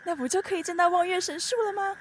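Very high-pitched excitable guard. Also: female voice, fast, slight background noise. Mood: curious. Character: excitable, alert young female temple guard. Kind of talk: Mandarin audio drama acting.

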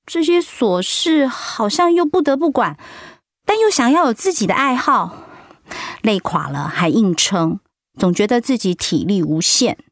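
Actress as chatty middle-aged woman, high voice. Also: animated, a little slow, dry recording, studio quality. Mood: weary, candid. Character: warm, outgoing middle-aged woman, breast cancer survivor. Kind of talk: radio drama acting, conversational.

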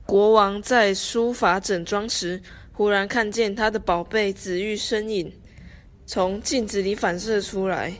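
A woman's voice: medium pitch, steady tone, a little slow. A woman with a Taiwanese Mandarin accent reading scripted narration for an amateur radio drama. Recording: background music under the voice.

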